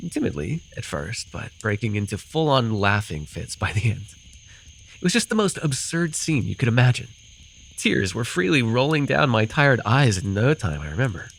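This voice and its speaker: A man's voice: fairly low pitch, a bit bright. A man acting a rambling, amused forest fae in a fantasy ASMR roleplay, telling his tale with hesitant, apologetic asides. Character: rambling, amused male forest-dwelling fae storyteller with bird companion. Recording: noisy with cricket and insect ambience. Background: night forest ambience with crickets and insects.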